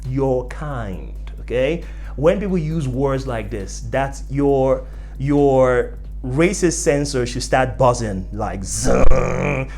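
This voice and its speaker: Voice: medium pitch, lively intonation, slow, a little mellow, soft background music. A young adult with a Nigerian accent telling personal stories in an emphatic way, repeating phrases for effect. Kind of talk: animated storytelling to camera.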